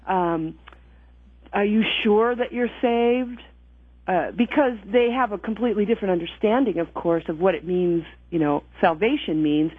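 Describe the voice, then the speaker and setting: A woman's voice: medium pitch, dry recording. Woman speaking over a tinny phone line. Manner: earnest, reflective, self-correcting. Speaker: guest. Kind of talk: personal testimony in conversation.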